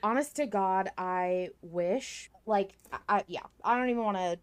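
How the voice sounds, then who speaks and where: A woman's voice: mid-range voice, unhurried, smooth, no room sound. A woman co-hosting a podcast in casual conversation. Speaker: podcast co-host. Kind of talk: casual chat.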